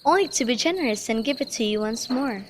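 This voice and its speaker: Woman as earnest young romantic heroine, amateur radio Shakespeare, higher register, slightly noisy home recording with insects. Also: lively intonation, fast talker, lightly acted. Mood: tender, devoted. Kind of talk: student radio drama acting of modernized Shakespeare.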